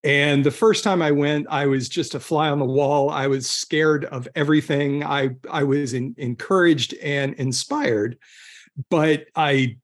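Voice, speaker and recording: Man haltingly telling casual stories. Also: a little high. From southern California. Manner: halting, self-interrupting, groping for words. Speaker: guest author. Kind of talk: casual conversational storytelling.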